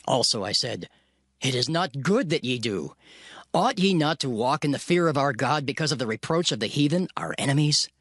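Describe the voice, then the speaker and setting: A man narrating a dramatized King James Bible reading in a solemn, measured way. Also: on the high side, fairly bright tone, no room sound, studio quality. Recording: narration over clear music bed.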